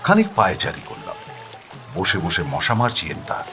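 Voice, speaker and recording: A man narrating a first-person story in a dramatic, animated and expressive manner. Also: deep voice, moderate pace, soft background music.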